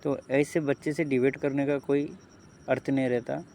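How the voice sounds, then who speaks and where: A man's voice: medium pitch, little pitch movement, moderate pace, darkish tone, a little hiss or hum. A man giving disapproving spoken commentary to viewers. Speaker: commentator on a recorded call.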